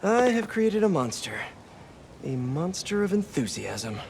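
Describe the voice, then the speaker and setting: An actor playing a young, wisecracking, earnest American superhero in a video game, tossing out quippy asides. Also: male voice, high voice, very animated, a little slow, vocal fry, background noise, exaggerated voice. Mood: wry. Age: young adult. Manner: quippy, self-talking.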